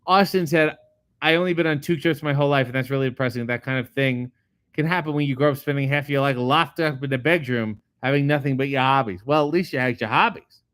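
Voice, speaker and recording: A middle-aged man, a comedian host, reading viewer comments aloud and answering them in a casual, profane, deadpan way. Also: medium pitch, quick pace, no room sound. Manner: profane, sardonic, deadpan asides. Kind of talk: casual chat answering live chat questions.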